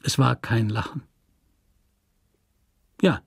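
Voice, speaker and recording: An actor playing a calm, shrewd gentleman who questions a convict in a controlled, dry manner in a radio play. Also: mid-range voice, slow pace, creaky, breathy edge, no echo, heavily acted. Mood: probing.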